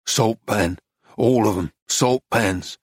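Man doing a low, drawling, stubborn painter. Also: slow, slightly rough, dry recording, studio quality. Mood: terse, flat. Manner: drawling, terse, deadpan.

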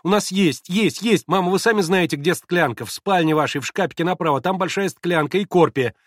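A man reading aloud, voicing an excitable, imperious young invalid girl who gives urgent commands. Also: high voice, a little fast, dry recording, clean studio sound, voice pushed up.

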